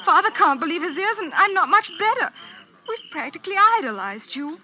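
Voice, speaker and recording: A woman's voice: very high-pitched, sing-song, a little fast. An actress playing an earnest, indignant young English lady in 1940s radio drama style. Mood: confused, pleading. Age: young adult. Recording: muffled 1940s radio recording.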